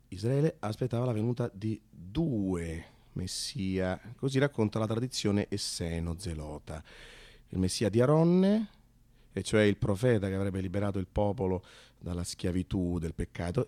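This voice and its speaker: A man performing a theatrical radio monologue, conversational and halting, repeating phrases and swinging from hushed to excited. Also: on the low side. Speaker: solo narrator-actor.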